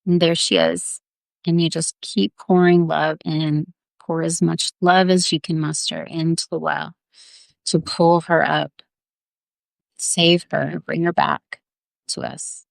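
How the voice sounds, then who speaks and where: A woman's voice: low voice, slow pace, creaky, no echo. A woman leading a guided visualization in a tender, earnest, coaxing way, improvising as she goes and repeating her phrases. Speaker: guided meditation leader.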